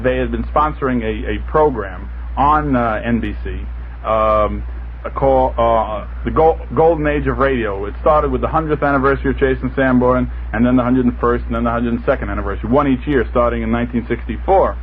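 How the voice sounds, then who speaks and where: A man's voice: medium pitch, a little fast. A middle-aged man chatting as a radio panelist, stammering and repeating words as he goes. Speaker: panelist on a radio talk show. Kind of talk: casual radio conversation. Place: radio studio. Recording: noisy, tinny 1960s radio recording.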